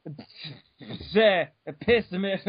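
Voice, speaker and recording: A man giving a mock-dramatic reading of an article, over the top, bursting into shouts and stumbling over words. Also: sits high, slow, breathy edge, dry recording, lo-fi sound. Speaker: reader.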